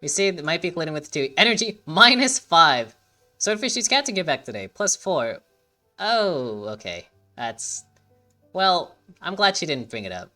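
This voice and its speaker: A young woman with a low yet bright, lively voice talks casually over a video game she's playing. She reads in-game text aloud and thinks aloud, swinging widely in pitch. Her tone is loose and unfiltered, with the odd casual curse. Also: normal speed, soft background music. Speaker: gaming streamer. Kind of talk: casual gameplay commentary.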